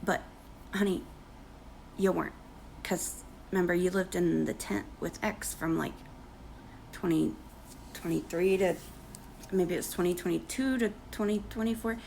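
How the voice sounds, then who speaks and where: A woman's voice: lowish voice, steady tone, a little slow, pauses often, darkish tone, a little hiss or hum, poor audio. A woman losing her voice, reading posts aloud in a casual gossip commentary with sarcastic, mocking asides. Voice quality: creaky, gravelly. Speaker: commentary creator.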